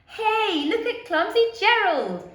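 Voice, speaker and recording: A woman voicing loud jungle animals in a children's storybook, exclaiming in an exaggerated way. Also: very high-pitched, big pitch swings, slow, roomy sound, voice pushed up, heavily acted. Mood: jeering. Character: loud crowd of jungle animals, mocking then amazed. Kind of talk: children's storybook read-aloud.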